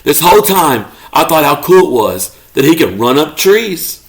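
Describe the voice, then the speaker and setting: A man reading a children's story aloud expressively, as a parent does to a child, pausing to ask questions. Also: on the high side, sing-song, rough recording. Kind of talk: expressive children's read-aloud, narrating as a pet dog.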